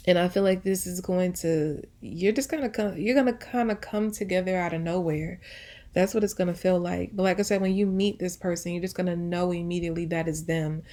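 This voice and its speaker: A woman's voice: lowish voice, a little mellow. A woman with a casual, conversational delivery giving an intuitive pick-a-card reading, often circling back to rephrase her thoughts as she goes. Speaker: tarot reader, host.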